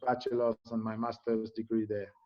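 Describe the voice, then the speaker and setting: Middle-aged man from Cameroon, halting speech, lots of fillers, dull lo-fi recording. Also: fairly low pitch, little pitch movement, mellow. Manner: halting, many fillers and restarts. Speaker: interview guest. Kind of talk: conversational interview.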